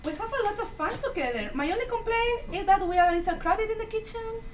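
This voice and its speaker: A woman's voice: extremely high pitch, expressive, fast. A woman acting a mischievous, sociable old Cuban mother in a staged play reading. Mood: mock complaining. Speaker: student actor in a dramatic reading. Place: echoey room at a live performance. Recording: roomy, distant mic, faint noise.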